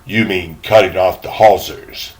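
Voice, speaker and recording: An older man voicing a courteous, learned elderly gentleman in a storybook, speaking formally and precisely with slight pompousness. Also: sits low, fairly even intonation, unhurried, pitched below the performer's own voice. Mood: pedantic.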